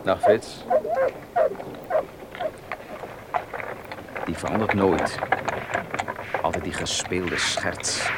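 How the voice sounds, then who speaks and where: A man's voice: low voice, takes it slowly. An actor playing a serious young clergyman in a radio play, plain-spoken and matter-of-fact. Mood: disapproving. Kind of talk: Dutch radio play acting. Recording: noisy outdoor-scene radio drama effects.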